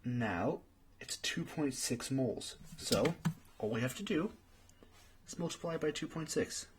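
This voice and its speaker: A man's voice: medium pitch, expressive, measured pace, background noise, natural voice. A man playing a friendly young friend in an ASMR roleplay, patiently tutoring and thinking aloud in a hesitant way. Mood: encouraging, explaining. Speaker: study partner.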